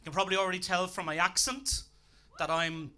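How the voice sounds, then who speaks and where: Deadpan Dublin man doing stand-up. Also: sits high, fairly quick, smooth. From Ireland. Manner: dry, deadpan delivery with self-deprecating asides. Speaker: stand-up comedian. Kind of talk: stand-up set. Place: comedy club stage. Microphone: handheld mic.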